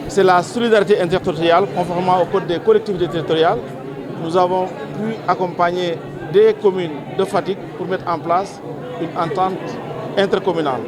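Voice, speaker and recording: A man's voice: sits high, fairly even intonation, fairly quick. A man, a technical agency official, explaining things formally and methodically to the press. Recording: busy crowd behind, public space.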